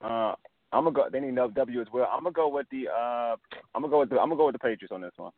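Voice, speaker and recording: A laid-back man co-hosts a sports talk show, chuckling and stretching his fillers. His voice sits at an everyday middle pitch. The sound is dry and thin, like a phone line. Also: no echo. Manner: laid-back, laughs often, drawn-out fillers. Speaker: co-host. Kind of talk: casual radio sports talk.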